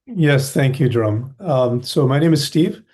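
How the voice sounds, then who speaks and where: An older man from the USA introducing a meditation class in a gentle, explanatory way. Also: medium pitch, lively intonation, unhurried. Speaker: meditation instructor.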